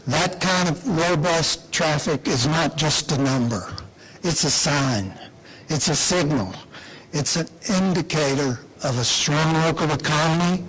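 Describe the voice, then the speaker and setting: Slow, deliberate man giving a public speech. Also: a little high, fairly bright tone, a little hiss or hum, low-quality recording. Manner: deliberate, emphatic, repeats phrases with rhetorical pauses. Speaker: airport official giving an announcement speech. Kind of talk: public speech at a press announcement.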